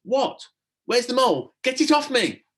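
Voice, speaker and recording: An excitable young brother in a children's story, performed by a man. The pitch jumps well up, and the delivery is protesting and squealy, as if easily frustrated. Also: a little slow, full character voice. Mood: startled, eager.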